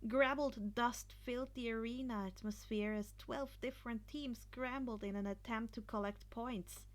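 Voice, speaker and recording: A woman's voice: a little high, fairly even intonation, moderate pace. A woman narrating fan fiction as an amateur reader, sometimes stumbling and rereading words.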